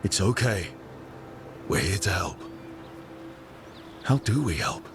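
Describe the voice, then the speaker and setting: A slow, low-voiced fantasy hero. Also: male voice, expressive. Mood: reassuring. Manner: short, unhurried replies. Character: calm, supportive male fantasy hero, gently wry. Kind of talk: video game dialogue acting. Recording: music under dialogue.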